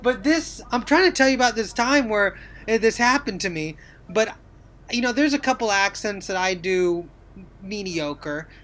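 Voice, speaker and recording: A woman with a bright, forward voice co-hosts a podcast. She talks in a chatty, joking way and works her way through long stories. Her pitch sits at a middle level for a woman. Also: animated, moderate pace. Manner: chatty, jokey, winds up long anecdotes. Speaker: podcast co-host. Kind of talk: casual chat.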